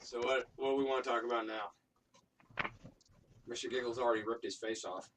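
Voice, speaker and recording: A man's voice: mid-range voice, fairly even intonation, fairly quick, fairly bright tone. A man chatting casually, picked up from a distance with some noise on the recording. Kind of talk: casual chat. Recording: distant mic, faint noise, clicks.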